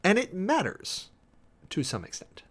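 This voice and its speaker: Theatrical man with a swooping, animated delivery. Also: high-pitched. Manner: theatrical, emphatic, with drawn-out words and sudden swells. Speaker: livestream host, preacher. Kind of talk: dramatic reading with close analytical commentary to a live chat.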